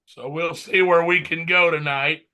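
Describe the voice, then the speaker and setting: Slow-talking sick man with bright voice. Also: a little high, fairly even intonation, clear, resonant tone. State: under the weather, voice shot. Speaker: podcast host. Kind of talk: casual sports chat.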